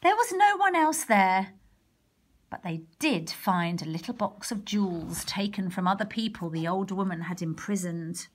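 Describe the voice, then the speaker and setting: A woman reading a picture book aloud to young children, expressive, with chatty exclamations and questions along the way. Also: mid-range voice, moderate pace. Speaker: primary teacher reading a storybook to children. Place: indoors, picture book held over a wooden table.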